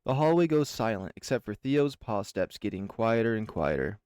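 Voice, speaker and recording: A man who hosts a channel, reading a visual novel aloud in a slow, measured, dreamy way. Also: lowish voice, big pitch swings, a little mellow, clean tone, dry recording, clean studio sound. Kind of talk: dramatic reading of game text.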